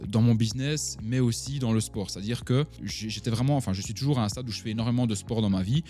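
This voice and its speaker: A man's voice: mid-range voice, fast talker, mellow, soft background music. A man in his twenties with a Belgian French accent, talking casually and directly to his viewers about his own life. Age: 20s. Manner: chatty, addresses the listener directly, many fillers. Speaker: online entrepreneur and vlogger. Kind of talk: casual talk to camera.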